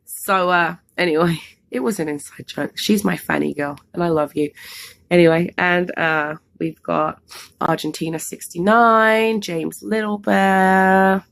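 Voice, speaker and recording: Hoarse, gravelly London woman with a cold. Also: lowish voice, fairly even intonation, unhurried, a bit bright, slight background noise. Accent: London English. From England, London. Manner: chatty, rambling with fillers. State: losing her voice from a cold. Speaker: vlogger co-host. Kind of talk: casual story time chat.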